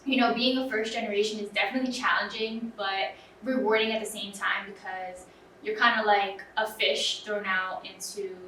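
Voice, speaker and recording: A young woman student talks earnestly in a casual interview, leaning on "um" and "you know" as she thinks aloud. Her voice is bright, and she sits back from the mic in a roomy space. Faint ambience hums behind her. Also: medium pitch, steady tone, moderate pace, recorded from a distance, a little hiss or hum. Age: young adult. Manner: earnest, filler-heavy with frequent "um" and "you know".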